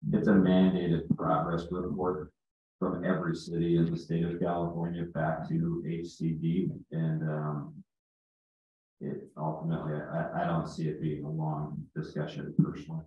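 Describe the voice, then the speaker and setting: Low-voiced city manager, muffled and echoey. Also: male voice, monotone, moderate pace. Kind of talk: formal explanation at a public meeting. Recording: muffled phone-like sound, heavy room echo.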